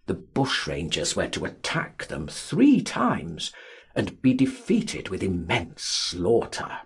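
A man narrating a literary audiobook in a dramatic, expressive and measured way. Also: deep voice, husky. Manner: theatrical, expressive, measured reading.

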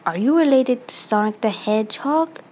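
A woman voicing a composed, serious young cat princess in an amateur comic dub, speaking in a measured, formal way. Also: fairly high pitch, animated, darkish tone, slightly rough. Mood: composed, polite. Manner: measured, formal, deliberate delivery.

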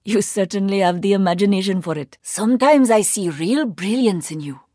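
A woman's voice: mid-range voice, moderate pace, dark tone, dry recording, studio quality, close to an everyday voice. An actress playing a proud, poised Indian woman agent in a video game, with an Indian accent and formal, precise, dryly sarcastic phrasing. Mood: dry, sardonic. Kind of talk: video game character voice lines.

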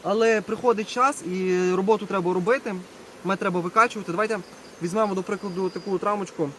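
A man's voice: high-pitched, fairly even intonation, fast, fairly bright tone. A man giving a casual hands-on demonstration as a beekeeper, talking to viewers while he works and repeating short phrases. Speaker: beekeeper presenting a video. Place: outdoors at an apiary. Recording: outdoor recording with buzzing bee noise. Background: buzzing bees and insects.

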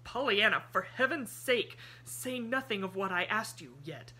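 A woman voicing a lonely, earnest older gentleman in an audiobook, pleading and heartfelt. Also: mid-range voice, lively intonation, measured pace, slightly rough, voice pushed up, exaggerated voice, rough recording. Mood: agitated. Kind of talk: audiobook character voice.

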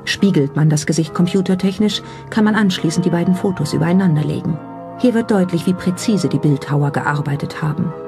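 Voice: medium pitch, fairly quick, vocal fry. A narrator reading scripted documentary commentary in German voice-over style. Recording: voice over background music. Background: background music.

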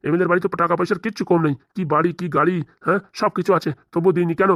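A man's voice: high voice, fairly even intonation, racing, fairly bright tone, no room sound, clean studio sound. A man playing a stern, protective middle-aged father-in-law who lectures insistently in a comic cartoon. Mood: scolding. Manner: insistent, lecturing, rhetorical questions. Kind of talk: comic cartoon drama dialogue.

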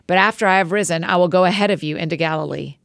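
A woman reading the words of a calm, authoritative male teacher in a measured, solemn way. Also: fairly low pitch, vocal fry, dry recording, clean studio sound.

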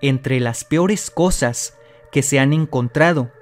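A man's voice: medium pitch, takes it slowly, soft background music. A man narrating a mystery video from a script, speaking straight to the viewer in a dramatic tone. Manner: dramatic, addresses the viewer directly. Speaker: channel host narrator. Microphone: voice-over.

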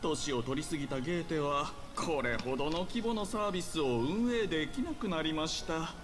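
A man's voice: fairly high pitch, normal speed, fairly bright tone, soft background music, natural voice. An actor playing a slick, theatrically polite young hotel owner with showy salesman patter, in an anime game. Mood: smug, persuasive. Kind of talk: Japanese anime game voice acting.

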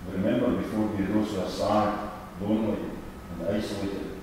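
An older man reading a formal prayer in solemn, measured phrases at a church lectern. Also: fairly low pitch, flat delivery, a little mellow. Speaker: church member leading prayers. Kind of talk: formal read-out intercessory prayer. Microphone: gooseneck lectern microphone. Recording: echoey church hall, distant, noisy.

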